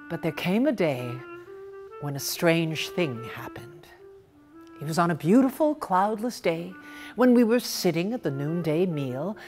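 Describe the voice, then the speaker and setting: A woman reading a literary story aloud in an expressive, theatrical and deliberate manner. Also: fairly low pitch. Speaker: story reader.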